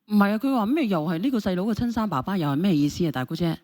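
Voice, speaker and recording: Fast, animated Hong Kong Cantonese woman host. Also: mid-range voice. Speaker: host of a phone-in show. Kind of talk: mediating a relationship phone-in.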